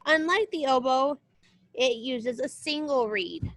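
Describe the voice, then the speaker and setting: A woman's voice: sits high, takes it slowly, a bit bright, dry recording. A woman music teacher reading a children's book aloud as a lesson, explaining things directly to young listeners. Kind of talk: read-aloud lesson with short spoken asides.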